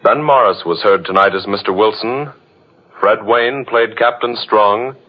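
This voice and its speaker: Low-voiced vintage radio announcer, man. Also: measured pace. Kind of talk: old-time radio credits announcement. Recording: muffled old radio broadcast.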